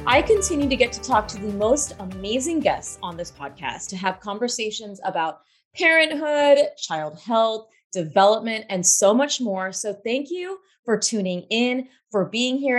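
A woman's voice: a little high, breathy edge. A woman hosting a conversational podcast interview in an enthusiastic, effusive manner. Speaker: podcast host.

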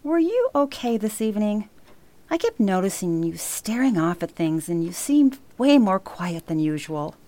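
A woman playing a loving, bustling grandmother in a sentimental radio play, expressive, chatty and affectionately teasing. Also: mid-range voice, moderate pace, natural voice.

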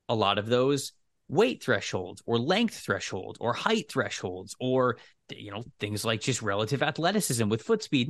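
A man's voice: mid-range voice, fairly bright tone, no echo, studio quality. A man co-hosting a sports podcast, talking through football analysis casually and explaining his points with careful clarifications.

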